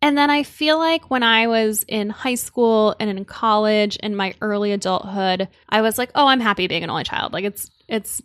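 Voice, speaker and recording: A woman's voice: mid-range voice, normal speed, hardly any pauses, fairly bright tone, dry recording. A young woman from Connecticut co-hosting a podcast, chatting casually and rambling with lots of "like.". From Connecticut, United States. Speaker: podcast co-host. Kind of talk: casual chat.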